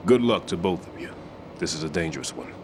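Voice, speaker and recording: A man playing a methodical, somber veteran bomb disposal expert in a video game cutscene, explaining precisely and gravely. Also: sits low, animated, fast, noisy background. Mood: instructive, serious. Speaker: demolition expert giving instructions.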